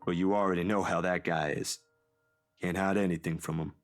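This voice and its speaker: A man's voice: low voice, steady tone, a little fast, soft background music, lightly acted. An actor playing a troubled young man in a visual novel game, hesitant, earnest and confiding as he unburdens a long-kept secret. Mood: reluctant, earnest.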